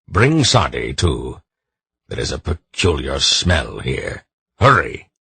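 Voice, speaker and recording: A man voicing a gruff old man who barks terse orders, with a husky voice. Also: extremely low pitch, big pitch swings, slow pace, a bit bright, no echo, heavily acted. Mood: urgent. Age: older.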